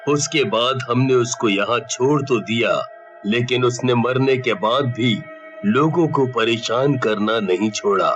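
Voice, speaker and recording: A man voicing a grave, authoritative god of death in a cartoon story, speaking in a measured, commanding manner. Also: mid-range voice, muffled recording, music in the background, lightly acted. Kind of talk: Hindi cartoon story dialogue.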